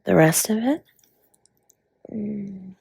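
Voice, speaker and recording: A woman plays a doting dragon spouse who bakes and flirts in an intimate audio roleplay. The voice is husky and unhurried, teasing and drawn-out, often sinking into a whisper. It is recorded close and dry. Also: medium pitch, a little mellow, no room sound, exaggerated voice. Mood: playful, instructive. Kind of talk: intimate romantic audio roleplay addressed to listener.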